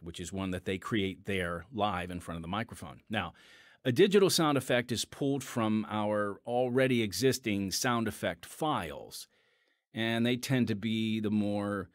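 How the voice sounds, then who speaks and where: A man's voice: on the low side, fairly bright tone, dry recording. A man hosting and announcing a radio drama podcast in a folksy way, on a close studio mic. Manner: folksy, addresses listeners as folks. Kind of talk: scripted hosting with informal asides.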